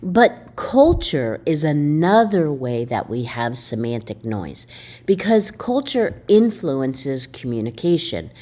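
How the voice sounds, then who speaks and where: A woman's voice: deep voice, takes it slowly. A woman instructor giving a recorded video lecture in an emphatic way, asking her students questions as she explains. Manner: animated, emphatic, asks the listener questions. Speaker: college instructor.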